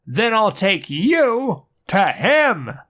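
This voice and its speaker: A man voicing a calm, forceful male superhero in a comic dub, speaking in a firm, measured, commanding way. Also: high-pitched, voice pushed up, exaggerated voice. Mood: determined. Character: stern heroic male strongman, calm and forceful.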